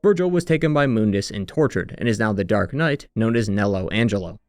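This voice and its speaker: A man's voice: lowish voice, lively intonation, fairly quick, a little mellow, dry recording, clean studio sound. A man giving a scripted narration for a video game retrospective.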